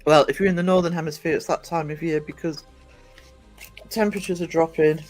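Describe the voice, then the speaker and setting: A man, croaky from just waking up, rambling chattily to his live-stream viewers from home and greeting them by name. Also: a little high, steady tone, a little fast, darkish tone, faint music behind, low-quality recording. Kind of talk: casual live-stream chat. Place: indoors at home. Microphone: desk microphone.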